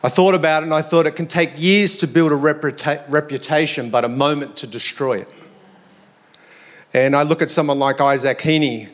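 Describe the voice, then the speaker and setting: An Australian man giving a sermon in a conversational, reflective way, his voice a little hoarse and croaky. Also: mid-range voice. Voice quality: slightly croaky. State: slightly hoarse. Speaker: preacher. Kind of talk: sermon to a congregation.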